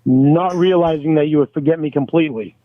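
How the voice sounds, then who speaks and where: Brief: man on a tinny dry phone line, high voice, angry and cursing. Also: fairly quick, no echo. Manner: angry, pleading, swears a lot. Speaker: caller on a recorded phone call. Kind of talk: heated phone argument.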